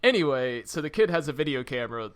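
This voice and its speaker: A man's voice: medium pitch, big pitch swings, fairly bright tone, smooth. A man co-hosting a casual movie podcast, laughing a lot and acting out lines from the film. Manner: laughs often, acts out film lines in quick voices. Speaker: podcast co-host. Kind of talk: casual movie review chat.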